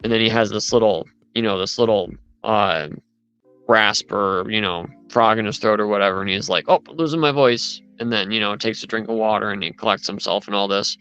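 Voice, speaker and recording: A man's voice: fairly low pitch, brisk, fairly bright tone, clean tone, muffled recording, faint music behind. A man in casual chat, rambling as he retells events with lots of asides.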